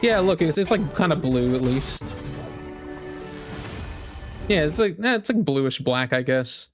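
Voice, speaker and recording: Casting: male reaction host, slightly high voice, rambling and slangy, excitable, game music audible behind. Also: unhurried. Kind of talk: casual live reaction commentary. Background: music from the game trailer.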